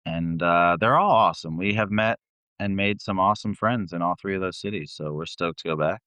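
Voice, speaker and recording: A man's voice: low-pitched, brisk, sharp tone, smooth, no echo. A man who sings in a band, chatting as a guest and telling tour stories in a laid-back, slangy, sweary way. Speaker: band vocalist, interview guest.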